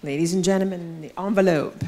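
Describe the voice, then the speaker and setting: A woman's voice: lowish voice, fairly even intonation, fairly quick, mellow. Woman emceeing an awards evening. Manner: polished, self-deprecating asides. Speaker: awards ceremony host. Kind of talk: formal emcee announcements. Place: event venue.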